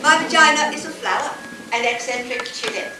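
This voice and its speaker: Actress playing high-voiced, earnest woman, echoey theater. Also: close to an everyday voice. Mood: dreamy, tender. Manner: dramatic, savoring words with deliberate pauses. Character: earnest, awkward, wonder-struck woman confiding intimately. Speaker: stage actor. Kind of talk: theatrical stage monologue. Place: college theater stage before an audience. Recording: echoey theater, distant mic, noisy hiss.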